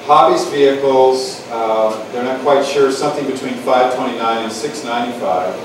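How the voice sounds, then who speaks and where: A man's voice: medium pitch, normal speed. Rambling man moderating in an echoey hall. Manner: rambling, upbeat, full of restarts. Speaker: moderator introducing speakers. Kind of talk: casual, joking lecture-hall chat. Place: lecture hall with audience. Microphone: distant room mic. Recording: echoey hall from far off, with background noise.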